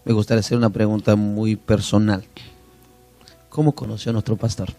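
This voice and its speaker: A man's voice: lowish voice, flat delivery, fairly quick, warm tone, faint music behind. A man hosting a church interview program, deferential and effusive toward his guest. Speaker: interview host. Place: church media studio.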